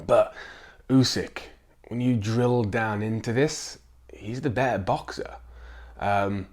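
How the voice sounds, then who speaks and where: A man with a croaky, slightly rough voice from a chest cough. He talks casually to his viewers, often stopping on an um or restarting a sentence. His tone stays easy and conversational. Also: lowish voice, takes it slowly, a little mellow. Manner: chatty, with frequent ums and restarts. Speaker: sports vlogger. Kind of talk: casual chat to camera.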